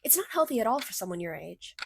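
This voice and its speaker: A woman voicing a bubbly, caring female cartoon character in a radio play, chatty, motherly and expressive. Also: mid-range voice, quick pace, vocal fry, slightly rough, exaggerated voice. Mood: warm, encouraging. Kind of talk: fictional radio theater acting.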